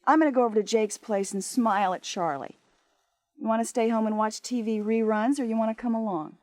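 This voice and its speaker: A woman's voice: a little high, brisk, darkish tone, no echo, close to an everyday voice. A woman playing a tipsy, bored American wife in her twenties, flippant and cynical, in a radio drama. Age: 20s. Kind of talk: naturalistic radio drama acting.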